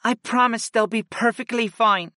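A high, breaking voice of an affectionate young woman mage in tears, as a man puts her on, soft and pleading. It sits dry and close, with no room around it. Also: steady tone, normal speed, no room sound. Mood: tender. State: crying. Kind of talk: audiobook character voice.

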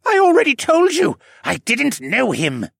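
A man's voice: mid-range voice, wildly swooping pitch, measured pace, fairly bright tone, breathy edge, no room sound, clean studio sound, heavily acted. A man voicing a cowardly, whining young male assassin sorcerer in a fantasy audiobook, whimpering and sulky. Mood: whiny.

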